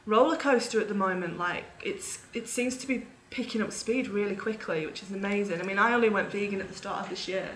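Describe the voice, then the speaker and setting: A British-born woman in her thirties, now living in Australia, chatting as a guest in a relaxed interview with frequent ums. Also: mid-range voice, fairly quick, hardly any pauses, roomy sound, low-quality recording. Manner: chatty, laughs easily, fillers like um. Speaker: guest, vegan podcast host. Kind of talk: casual interview chat.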